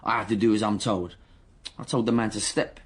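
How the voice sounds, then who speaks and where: An actor playing a cocky, defensive young gang member under interrogation in a radio drama, speaking indignant street slang. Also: male voice, lowish voice, fairly even intonation, a little fast, husky. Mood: bragging, irritated. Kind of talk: naturalistic radio drama dialogue.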